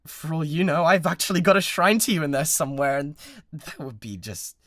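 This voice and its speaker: A man acts out an obsessive young British yandere streamer in an intimate audio roleplay. His delivery is bratty and needy, swinging widely between hushed menace and insistent pleading. The recording is very dry and close to the listener. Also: a little high, animated, a little fast, dry recording. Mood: nervous, backtracking. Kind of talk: dramatised one-man roleplay addressed to the listener.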